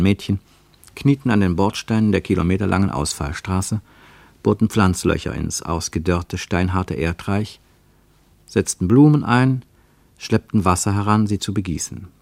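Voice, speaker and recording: Male narrator, lowish voice, measured descriptive radio drama reading, dry studio. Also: vocal fry, darkish tone, no echo. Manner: measured, descriptive, building long lists.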